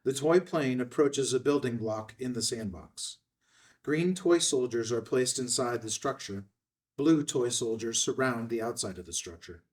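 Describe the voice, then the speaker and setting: Man narrating screenplay directions, medium pitch. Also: animated, unhurried, distant mic.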